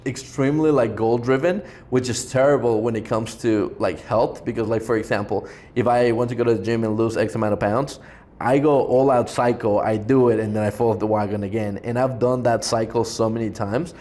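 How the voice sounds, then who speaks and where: A man's voice: medium pitch, steady tone, fairly quick, warm tone. A man from Ecuador hosting a podcast, talking in a loose, rambling way with lots of "like" fillers. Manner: rambling, heavy use of "like" fillers. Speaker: podcast host. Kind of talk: casual interview chat.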